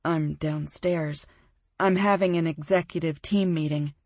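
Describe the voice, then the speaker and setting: Woman reading a gruff, blunt businessman. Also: low-pitched, fairly even intonation, a little slow, dry recording. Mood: hushed. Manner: hushed, gruff. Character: brusque businessman, blunt and profane.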